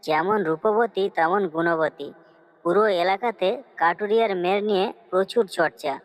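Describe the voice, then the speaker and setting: A woman's voice: lowish voice, moderate pace, lightly acted, poor audio. Woman voicing a coaxing, cunning cartoon fox. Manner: coaxing, flattering. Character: cunning fox, sweet-talking helpful schemer. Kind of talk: cartoon story dialogue.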